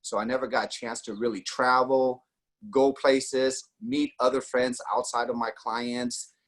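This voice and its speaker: A man's voice: mid-range voice, flat delivery, unhurried, a bit bright. A man in his forties from Austria, telling his personal story in a casual way, with many fillers and acted quotes. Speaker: network marketing guest.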